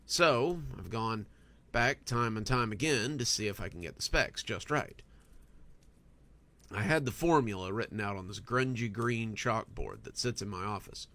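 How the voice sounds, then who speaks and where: A man reading a scripted first-person story as a narrator, with an expressive storytelling delivery. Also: fairly low pitch.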